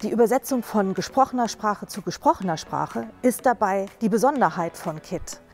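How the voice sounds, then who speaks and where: A middle-aged woman presents for a company in a clear, polished way. Her pitch sits in the middle, picked up on a lapel mic. Soft music plays beneath. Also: expressive, moderate pace. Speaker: company spokesperson. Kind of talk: scripted presentation. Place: large indoor atrium.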